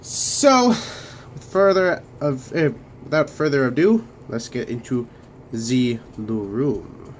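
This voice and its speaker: A young man hosting a casual room tour vlog of his bedroom studio, talking haltingly with many fillers and restarts while coming down with a cold. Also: mid-range voice, big pitch swings, slight background noise. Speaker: Lego channel host.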